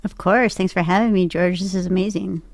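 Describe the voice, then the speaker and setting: A woman's voice: on the low side, fairly even intonation, brisk, dry recording, clean studio sound. A woman giving a casual interview as a guest, telling personal stories and often quoting her own thoughts as she goes. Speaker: voice actor and podcaster, interview guest.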